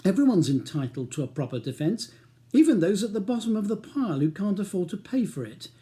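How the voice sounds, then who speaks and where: A man's voice: high-pitched, big pitch swings, brisk, dark tone, pitched above the performer's own voice. A man reading an audiobook as a weary, defensive barrister husband with a wry, ironic manner. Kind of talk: audiobook character dialogue.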